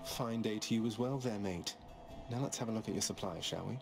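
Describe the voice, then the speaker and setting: A man's voice: fairly low pitch, brisk, gravelly, loud music behind the voice. A man playing a cocky, breezy young underworld prince in a video game, casual and sarcastic. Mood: breezy, wry.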